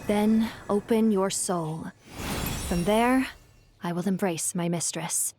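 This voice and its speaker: A woman's voice: mid-range voice, takes it slowly, noisy background, close to an everyday voice. Woman as an ancient, theatrical, devoted female djinn. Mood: serene devotion. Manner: poetic, formal, theatrical delivery. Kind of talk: video game voice acting.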